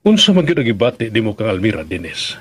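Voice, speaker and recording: A man's voice: lowish voice, wildly swooping pitch, fast. An actor with a Filipino accent playing a worried middle-aged father in a radio drama, questioning his son hesitantly. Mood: concerned, questioning.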